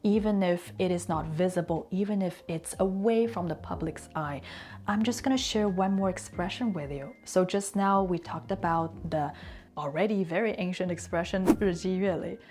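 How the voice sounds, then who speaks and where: A woman whose first language is Chinese, giving an earnest, reflective talk on consistency and slowing down to stress key words. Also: fairly low pitch, a little mellow. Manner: conversational asides and fillers. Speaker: language and culture teacher.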